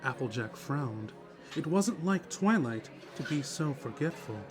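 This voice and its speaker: A man's voice: a little high, expressive, unhurried. A man reading scripted story narration as the narrator of a dramatic reading. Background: music.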